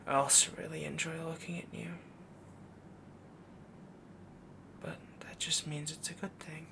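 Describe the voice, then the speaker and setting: A man playing a possessive, doting young werewolf boyfriend in a soft, breathy, half-whispered intimate roleplay audio, rambling and dominant as he coos endearments. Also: a little high, flat delivery, brisk, a little hiss or hum. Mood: obsessive, adoring.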